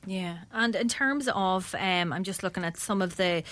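A woman's voice: on the low side, moderate pace, a bit bright. An Irish woman interviewing a guest for radio, asking questions in a conversational, prompting way.

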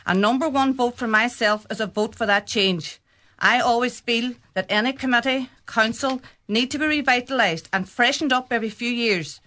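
Earnest bright-voiced Donegal woman candidate. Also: a little high, moderate pace. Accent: Irish, Donegal. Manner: earnest, emphatic, repeats phrases when answering. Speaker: local election candidate. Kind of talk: campaign pitch and panel discussion.

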